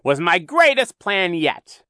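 A man voicing a sly, boastful villain in a storybook, growling and sneering with drawn-out emphasis. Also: on the high side, huge pitch swings, pushed and bright, dry recording, clean studio sound, voice pushed up, exaggerated voice. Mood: gloating. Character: sly boastful theatrical villain, adult male, gloating and sneering.

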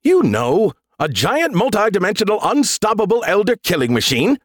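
A man voicing a smug, mischievous artificial intelligence in an audiobook, with a theatrical, drawn-out delivery. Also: sits high, wildly swooping pitch, dry recording, clean studio sound, pitched above the performer's own voice, full character voice. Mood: gleeful. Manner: showy, drawn-out dramatic delivery.

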